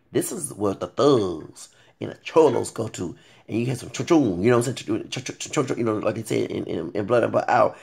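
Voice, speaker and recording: Late 30s guy ranting to camera, raspy and rough, curses a lot, keeps saying y'all. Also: lowish voice. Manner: animated, blunt, swearing, addresses viewers as y'all. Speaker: vlogger.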